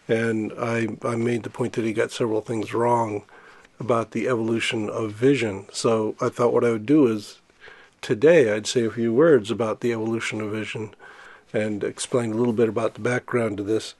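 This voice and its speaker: An older man with a cold, congested and a bit hoarse, casually explaining a science topic and often restarting phrases. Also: mid-range voice. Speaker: science educator.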